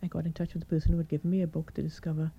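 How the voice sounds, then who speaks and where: A middle-aged woman doctor with a croaky, hoarse voice, calmly explaining her experience as a radio guest. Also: low-pitched, racing, dull audio. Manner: calm, reassuring, explains step by step. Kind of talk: radio interview.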